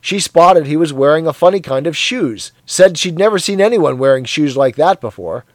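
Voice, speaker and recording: A man voicing a hearty, sweaty, zealous middle-aged man who talks in a loud, cheery, blustering way. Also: on the high side, animated, moderate pace, no echo, close to an everyday voice. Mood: boastful.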